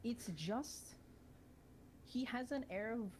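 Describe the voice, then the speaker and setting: A woman chatting casually on a live stream, rambling in an animated way, repeating phrases and swearing freely. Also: a little high, slow, a little hiss or hum. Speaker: streamer telling friends a story idea. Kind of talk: casual chat on a voice call.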